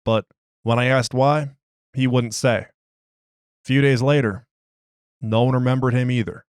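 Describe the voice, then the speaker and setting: A man's voice: mid-range voice, fairly even intonation, unhurried, clear, resonant tone, no echo, studio quality, lightly acted. A man voicing an agitated, streetwise prisoner who argues his case in slangy, ungrammatical, exasperated speech. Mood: subdued. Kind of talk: dramatized interview log.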